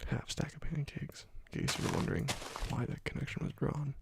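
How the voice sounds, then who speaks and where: Whispering young man, gravelly low fry, close desk mic, faint mouse and keyboard clicks. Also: noisy background. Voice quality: soft whispering, often unvoiced. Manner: slow, relaxed rambling between quiet pauses. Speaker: let's play host. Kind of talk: ASMR gameplay commentary.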